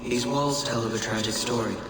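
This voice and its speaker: An actor playing a solemn messenger of a lost ancient civilization in a video game, measured, cryptic and portentous. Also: male voice, medium pitch, steady tone, natural voice. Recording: echoing chamber with loud ambient noise.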